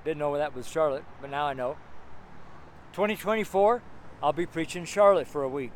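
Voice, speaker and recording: A man's voice: on the high side, normal speed. A man in his seventies giving an informal, rambling street sermon in a strong, carrying voice, exclaiming and repeating phrases for emphasis. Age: 70s. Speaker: street preacher. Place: outdoors on a city street among buildings. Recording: outdoor street noise, traffic ambience.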